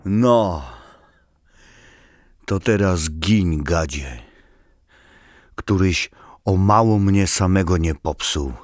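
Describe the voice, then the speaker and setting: An actor playing a wary, proud tribesman in an audio drama, speaking in a terse, deliberate, rustic way. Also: deep voice, dry recording, studio quality. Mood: cold vengeance. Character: wary, proud Slavic tribesman feigning treason.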